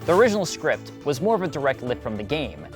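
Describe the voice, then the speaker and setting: A man's voice: mid-range voice, big pitch swings, fast talker. A man hosting and narrating a scripted video essay in an emphatic, conversational presenter style. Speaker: video host. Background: background music.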